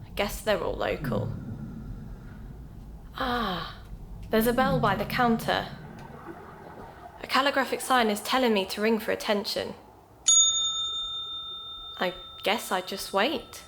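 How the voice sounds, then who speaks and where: A British amateur investigator narrating her audio drama podcast. She is observant and confiding, with a conversational delivery and some vocal fry at a middle pitch. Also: noisy background. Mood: curious. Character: Gemma, keen amateur investigator podcast host, chatty woman.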